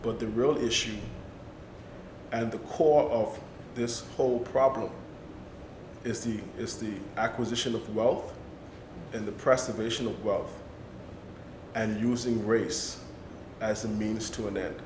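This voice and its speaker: Earnest man in an echoey, rumbling room. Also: steady tone, measured pace, pauses often, noisy background. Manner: earnest, builds emphasis with repetition. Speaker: panelist. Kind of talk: discussion.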